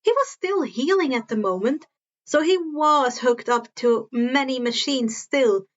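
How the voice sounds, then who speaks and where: A woman's voice: high-pitched, animated, a little slow, muffled recording. A woman reading a story aloud as narrator, now and then stumbling over and repeating words, on a modest home recording. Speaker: fan fiction narrator.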